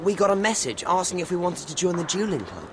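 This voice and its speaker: A modest, earnest British schoolboy wizard in a video game. His voice is high and moves around readily in pitch. Heavy noise sits behind it. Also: sing-song, fast. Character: earnest, modest teenage boy wizard hero. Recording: very noisy game audio background.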